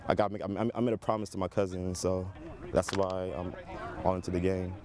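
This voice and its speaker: Low-voiced teenage male athlete, noisy outdoors. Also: flat delivery, brisk, darkish tone. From born in Ivory Coast, Liberian family. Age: teens, high school senior. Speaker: high school football player being interviewed. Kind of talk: casual interview answers. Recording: clear outdoor traffic and ambient noise.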